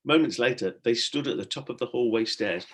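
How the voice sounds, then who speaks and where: Expressive man reading a children's story. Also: mid-range voice, fairly quick, slightly rough. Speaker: primary school teacher reading aloud.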